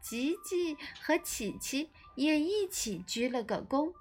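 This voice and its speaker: A woman reading a children's storybook as an expressive scripted narration. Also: sits high, slow, soft background music.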